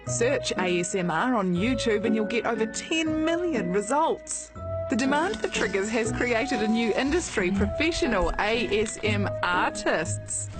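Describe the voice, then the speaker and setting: Female TV reporter, New Zealand accent, reading feature script at medium pitch over music. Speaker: television reporter. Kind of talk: scripted television feature narration. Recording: music bed behind voice.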